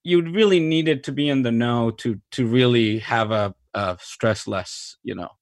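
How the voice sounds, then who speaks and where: A man from Mexico speaks in an unhurried, conversational way, pausing with fillers and circling back mid-sentence. His voice sits at an ordinary middle pitch and is recorded close and dry, with no room sound. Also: no room sound. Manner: thoughtful, many fillers and restarts, enthusiastic. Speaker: cinematographer guest. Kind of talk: relaxed interview conversation.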